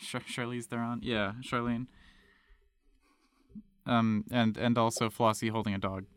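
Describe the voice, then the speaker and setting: A game master narrating a tabletop game, halting and restarting often. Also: medium pitch, level tone, clean tone, no echo, clean studio sound.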